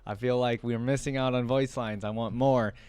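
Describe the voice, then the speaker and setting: A man's voice: mid-range voice, fairly even intonation, moderate pace, a little mellow, clear, resonant tone, no echo. A man giving casual, unscripted commentary to viewers as a reaction video host, rambling and emphatic in a conversational way.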